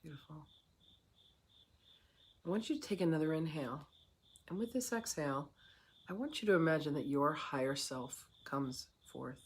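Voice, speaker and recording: A meditation guide speaking in a soothing, unhurried way with long pauses, leading a guided meditation. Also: mid-range voice, lively intonation, slightly rough.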